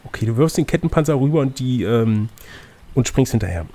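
A man's voice: medium pitch, animated, brisk, dark tone. Male game master, mid-range voice, reads fantasy adventure narration aloud and slips into playful banter. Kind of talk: reading a fantasy gamebook aloud with casual banter.

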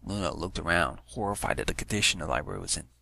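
Guy reading fan fiction aloud, low gravelly voice, pretty bright and dry. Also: quick pace, dry recording. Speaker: narrator.

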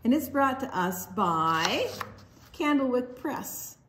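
A woman reads a picture book aloud to small children. Her pitch moves widely and expressively. She takes her time, stretching words out and dropping into hushed asides. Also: sing-song, takes it slowly, background noise. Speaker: children's storytime reader.

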